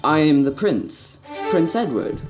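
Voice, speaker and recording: A spoiled young prince played by a man in a radio drama, with a lively, swinging pitch. He sounds naive and sheltered, with some music behind him. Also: unhurried. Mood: hesitant. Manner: hesitant, indignant.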